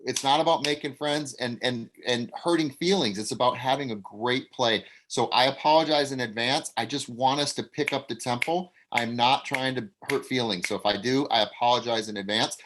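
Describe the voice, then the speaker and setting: A man's voice: mid-range voice, normal speed, hardly any pauses, a bit bright, slight background noise, rough recording. Acting teacher, male, blunt and direct, giving notes on a video call. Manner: blunt, coach-like. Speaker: acting teacher leading a table read.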